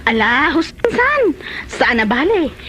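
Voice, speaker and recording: A woman's voice: high voice, big pitch swings, a little slow, sharp tone, full character voice. A woman with an Ilocano Filipino accent playing an inquisitive village woman in a radio comedy drama. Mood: concerned.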